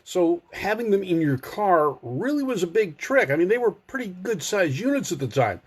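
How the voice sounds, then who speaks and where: A man's voice: sits high, big pitch swings, normal speed, slightly rough. An older man hosting a car enthusiast show, talking casually and explaining things in a folksy way with wry asides. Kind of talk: casual informative monologue to a live audience online.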